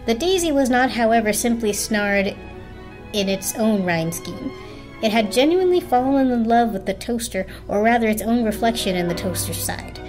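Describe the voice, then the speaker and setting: A woman's voice: a little high, animated, normal speed, darkish tone, faint music behind, poor audio. A woman reading a storybook aloud as a dramatic narrator.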